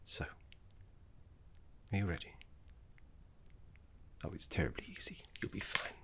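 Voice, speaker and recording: A man voicing a gentle, erudite necromancer teacher in a fantasy ASMR roleplay, speaking in a breathy half-whisper with refined, reassuring deliberation. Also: measured pace. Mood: soothing, encouraging.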